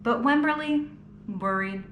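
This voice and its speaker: Expressive woman reading a picture book. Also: a little high, measured pace. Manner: expressive, asks children questions. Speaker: children's storytime reader. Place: children's museum. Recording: roomy echo, faint background noise.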